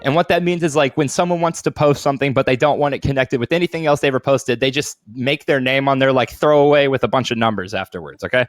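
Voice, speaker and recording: A man's voice: mid-range voice, fast talker, few pauses, dry recording, studio quality. A man co-hosting a podcast, chatting casually and telling stories in an informal, sweary way. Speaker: podcast co-host. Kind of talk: casual chat and reading a story aloud.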